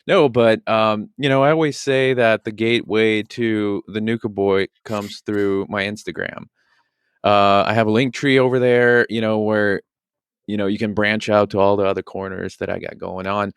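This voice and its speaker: Low, creaky-voiced man chatting casually. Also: steady tone, normal speed, dry recording, clean studio sound. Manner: chatty, peppered with "you know". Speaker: podcast guest, prop maker. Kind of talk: casual chat.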